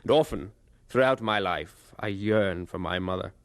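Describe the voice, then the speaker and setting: A man's voice: low voice, moderate pace, no room sound, natural voice. An actor playing a grown man who looks back on his boyhood, narrating an audio drama in a solemn, reflective way. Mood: sorrowful. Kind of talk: dramatized first-person narration.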